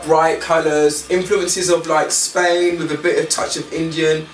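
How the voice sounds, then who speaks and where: A man's voice: a little high, level tone, a little slow, recorded from a distance. A man with a British accent, chatting informally as an interviewer on location. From Britain. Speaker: interviewer, presenter. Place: on location in designer's studio. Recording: echoey, off-mic, faint background noise.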